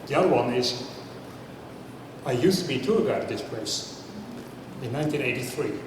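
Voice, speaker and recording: A man's voice: mid-range voice, lively intonation, normal speed, far from the mic. A man, giving a chatty, self-deprecating talk and putting questions to a live audience. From Netherlands. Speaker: speaker at a science talk night. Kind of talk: casual public talk with audience banter. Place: large hall before a live audience. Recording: echoey hall, mic from afar, noisy.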